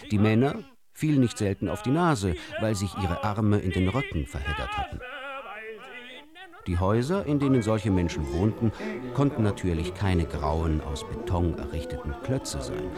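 Wry literary storyteller for musical radio drama, deep register, very varied intonation, deliberate theatrical delivery. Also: male voice, lively intonation, over loud music. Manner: deliberate, theatrical, with pointed pauses. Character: wry male storyteller of absurd fairy tales. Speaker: narrator.

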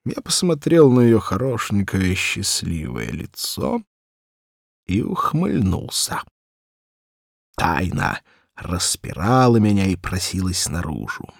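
A man narrating a classic story for children as an audiobook, expressive and theatrical, with wry, deliberate phrasing. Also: low voice, vocal fry, no echo, clean studio sound. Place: dry recording studio.

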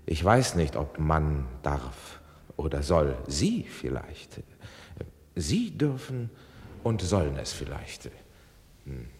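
An actor playing a hesitant, pondering learned doctor in a German radio drama, halting as he weighs each word. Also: very deep voice, very animated, a little mellow, full character voice. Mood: hesitant, grave. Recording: long reverberant hall.

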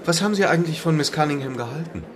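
An actor in a radio play voicing a witty, urbane playwright who asks dry, probing questions. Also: male voice, a little high, animated, racing, noisy background, lightly acted. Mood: wry, probing.

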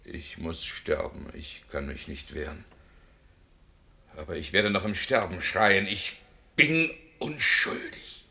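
A man's voice: low-pitched, big pitch swings, slow pace, gravelly, bright, forceful tone, exaggerated voice. An actor playing a condemned man who pleads his innocence from his cell. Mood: weary. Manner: impassioned, crying out his innocence. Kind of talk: radio drama acting.

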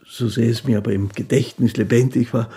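An actor playing a poor, gentle, devout old fiddler who recalls his life in a halting, reverent monologue for a radio play. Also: mid-range voice, fairly even intonation, creaky, natural voice. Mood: tender reminiscence.

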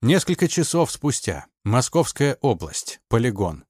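A man's voice: mid-range voice, sing-song, measured pace, darkish tone, breathy edge, no room sound, clean studio sound. A man narrating an audiobook in a scripted, dramatic storytelling style.

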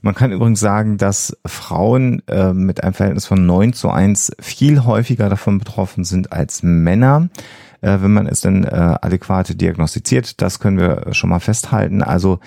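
A man explaining things as a podcast host in a halting way, with frequent hesitations. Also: sits low, vocal fry, dark tone, no room sound, clean studio sound. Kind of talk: explanatory science podcast talk.

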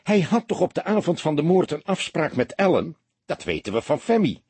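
A man's voice: a little high, sing-song, brisk, darkish tone, slightly rough, no echo, voice pushed up. A man voicing a young male detective in an audiobook, agitated, indignant and incredulous. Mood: baffled.

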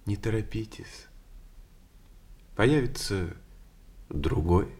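An actor playing a courteous circus horseman in a radio play, soft-spoken and earnest. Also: on the low side, animated, slow. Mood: tender, earnest. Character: courteous, quietly wooing travelling circus horseman.

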